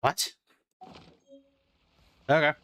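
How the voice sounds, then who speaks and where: A man's voice: drawn-out words, bright, forceful tone. A man casually commenting on a game he is playing and reading its text aloud as a streamer. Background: game music.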